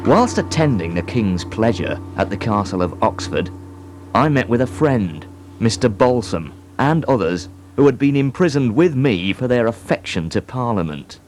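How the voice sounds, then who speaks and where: A man giving a solemn, measured dramatic reading of historical letters and accounts. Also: medium pitch, very animated, darkish tone, a little hiss or hum. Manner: slow, solemn, measured delivery.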